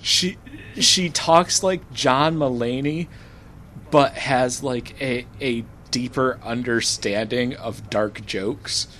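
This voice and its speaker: A man's voice: medium pitch, slow pace, a bit bright, slight background noise, low-quality recording. A man guest-hosting a casual podcast, teasing his partner and laughing at his own jokes. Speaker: guest co-host.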